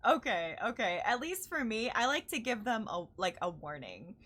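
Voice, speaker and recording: Bubbly, bright-voiced woman with a Chicago accent. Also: fairly high pitch, very animated. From Chicago, US Midwest. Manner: bubbly and giggly, quick to laugh. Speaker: interview host. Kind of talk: casual online interview chat.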